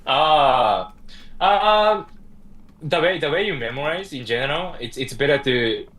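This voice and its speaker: A man from Japan, a guest on a casual chat, speaking halting English with many hesitations. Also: on the high side, lively intonation, a bit bright, low-quality recording. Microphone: video call.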